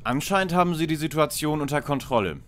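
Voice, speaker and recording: A man's voice: fairly high pitch, lively intonation, fast, background noise, lightly acted. A man playing a know-it-all young lead detective who deduces in wordy, matter-of-fact sentences in a parody audio drama.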